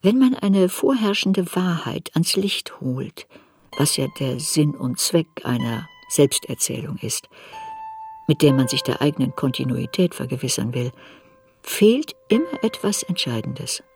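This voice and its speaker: A woman's voice: sits low, sing-song, unhurried, darkish tone, exaggerated voice. An actress playing an adult woman memoirist who searches her girlhood unsparingly, in literary radio play narration. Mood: pensive.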